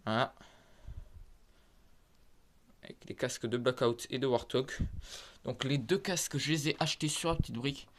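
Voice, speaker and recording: A man with a head cold giving a halting, filler-heavy show-and-tell of his toy collection, filmed on a phone in a converted attic. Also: mid-range voice, fairly bright tone, a little hiss or hum. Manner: halting, filler-heavy, points things out one by one. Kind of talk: casual show-and-tell narration. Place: converted attic room with creaky floor. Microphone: phone camera held in hand.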